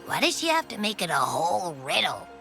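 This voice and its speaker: A woman voicing a small, blunt, childlike non-human companion in a video game, whiny and expressive. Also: lowish voice, brisk, faint music behind, exaggerated voice. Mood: grumbling. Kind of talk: video game character dialogue.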